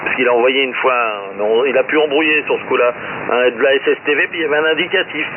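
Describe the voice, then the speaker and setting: A man's voice: mid-range voice, quick pace, a crowd in the background. A man rambles in a blunt, slangy, grumbling way as an amateur radio operator, his voice coming over a two-way radio. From France. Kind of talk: casual radio chat, rambling and opinionated. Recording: narrow, very noisy amateur radio transmission.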